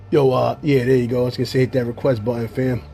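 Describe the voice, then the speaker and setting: A man's voice: mid-range voice, steady tone, fairly quick, gravelly, dark tone, soft background music. A man hosting a casual hip-hop interview show over a video call, tired and losing his voice, talking in a laid-back, slangy way with plenty of fillers and cursing. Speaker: show host.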